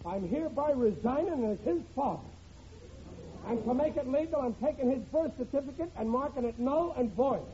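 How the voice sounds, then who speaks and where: A man's voice: extremely high pitch, animated, a little fast. An actor playing a hapless, self-pitying middle-aged working-class father in a 1940s radio comedy, grumbling plaintively in ungrammatical speech. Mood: aggrieved, self-pitying. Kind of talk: 1940s radio sitcom acting. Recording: muffled vintage radio recording, faint noise.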